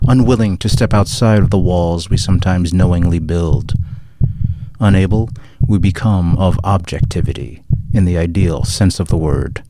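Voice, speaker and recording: A man performing a grave, declamatory social critic in a scripted dramatic monologue, with deliberate, solemn phrasing. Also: low-pitched. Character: grave male orator condemning society's closed minds. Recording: clearly noisy recording with clicks.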